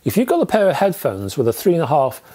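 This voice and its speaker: A man's voice: fairly high pitch, wildly swooping pitch, fast, dark tone. A man in his fifties presenting a scripted product review to camera in an emphatic, conversational manner with rhetorical asides. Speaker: audio equipment reviewer. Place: small indoor room with record shelves.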